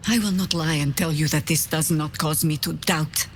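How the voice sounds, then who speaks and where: A principled, loyal woman warrior, acted by a woman from a fantasy game. Her voice is on the low side, earnest and measured, with faint ambience behind it. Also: slight background noise, lightly acted. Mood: earnest resolve. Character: resolute, principled woman warrior companion, guarded but loyal. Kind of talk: game dialogue acting.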